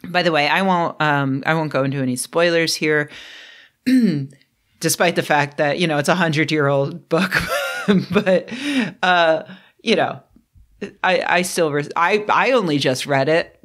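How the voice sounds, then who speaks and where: A woman's voice: sits low, measured pace. A young adult woman chatting unscripted on her channel, a bit throaty from a cold, rambling with fillers and self-deprecating asides. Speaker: book vlogger. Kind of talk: unscripted casual vlog.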